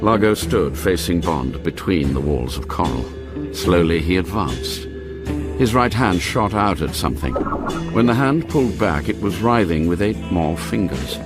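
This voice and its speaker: An actor narrating an action spy thriller for radio drama in a measured, deliberate storytelling manner. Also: male voice, sits low, expressive. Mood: mounting tension. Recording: loud dramatic music under narration.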